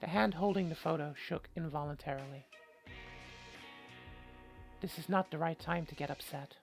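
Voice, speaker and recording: Gravelly, anxious young woman narrating a mystery as a reader voices her. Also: medium pitch, moderate pace, faint music behind, lightly acted. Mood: earnest, uneasy. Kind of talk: scripted first-person visual novel narration.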